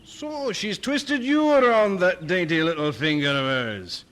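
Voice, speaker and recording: An actor playing a stern, proud Scottish preacher in a radio drama, speaking with a Scottish accent. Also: male voice, high-pitched, lively intonation, a little slow, a bit bright. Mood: accusing.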